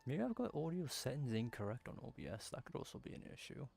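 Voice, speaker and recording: A man's voice: medium pitch, very animated, fast talker, gravelly, a little mellow, faint music behind. A man giving casual live commentary while playing a game, muttering and thinking aloud. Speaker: game streamer.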